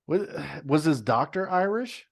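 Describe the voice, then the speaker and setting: A man's voice: a little high, big pitch swings, measured pace. A man co-hosting a podcast in casual chat, ranting with profanity and disbelief. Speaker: podcast co-host.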